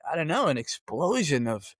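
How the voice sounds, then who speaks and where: A man's voice: on the high side, very animated, moderate pace, no room sound, studio quality. A man, telling rambling stories as a podcast guest and often saying "you know.". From Philadelphia, USA. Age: 50s. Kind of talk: casual conversational storytelling.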